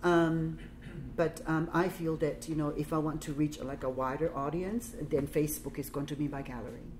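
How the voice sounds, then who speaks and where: A woman's voice: low voice, fairly even intonation, normal speed, a little mellow, a little hiss or hum. A woman in her forties with a Samoan accent, chatting casually as a guest artist at a talk, full of fillers. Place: gallery room, indoors.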